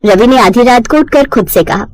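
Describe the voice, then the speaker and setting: Fairly high-voiced woman narrating stories. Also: expressive, normal speed, no echo, low-quality recording. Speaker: story narrator. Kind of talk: scripted narration.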